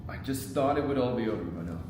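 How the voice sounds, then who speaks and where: A man's voice: mid-range voice, animated, fast talker, close to an everyday voice. A man playing a guilty, evasive husband confessing to his wife in a screenplay table read, subdued and defensive. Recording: very echoey room, distant mic, noisy.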